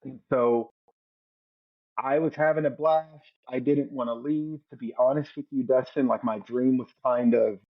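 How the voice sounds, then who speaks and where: A man in his forties with a husky, slightly breathy voice tells a personal story as a podcast guest. He speaks earnestly and reflectively, often repeating a word while he finds the next thought. The recording sounds dull and lo-fi. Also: medium pitch, steady tone, unhurried. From United States. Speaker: podcast guest, former Navy SEAL.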